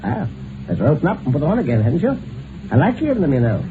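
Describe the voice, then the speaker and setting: A tinny, noisy old radio recording of a hearty upper-class husband. His voice sits a little high and swings widely in pitch, teasing and full of cruel good humour. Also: huge pitch swings, fast. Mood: breezy, probing. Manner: hearty, mocking, chuckling. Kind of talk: old-time radio drama acting.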